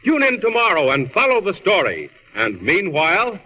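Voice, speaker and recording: Urgent, dramatic American radio announcer, bright and swooping, declamatory 1940s serial style, dull sound. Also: male voice, sits high, wildly swooping pitch, moderate pace, heavily acted. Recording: muffled, reverberant old radio recording.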